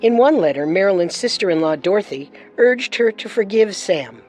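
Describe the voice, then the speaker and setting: A woman's voice: medium pitch, lively intonation, normal speed, darkish tone, soft background music. A woman hosting a true-crime show with scripted narration. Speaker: host and narrator.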